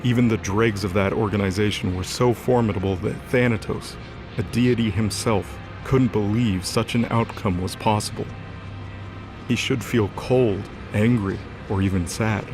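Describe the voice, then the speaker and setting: Low, gravelly male story narrator. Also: steady tone, normal speed. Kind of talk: scripted dramatic story narration. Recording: music under the voice.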